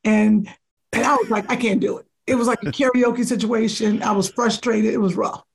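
A woman comedian with a deep, raspy voice, chatting casually as a podcast guest, animated and rambling. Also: moderate pace, low-quality recording.

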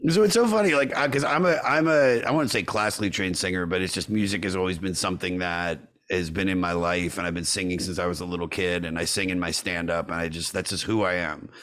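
Low-voiced man in his forties hosting. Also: steady tone, a bit bright. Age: 40s. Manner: enthusiastic, swears casually, quick laughs. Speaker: podcast host. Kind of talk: casual podcast chat.

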